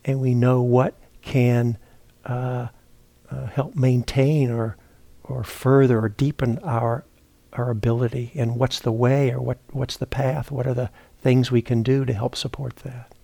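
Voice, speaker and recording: Slow, mellow man guiding meditation. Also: medium pitch, level tone, creaky, dry recording. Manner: halting, reflective, searching for words with repeats and pauses. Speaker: meditation teacher. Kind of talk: guided meditation talk, unscripted. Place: meditation retreat center, indoors.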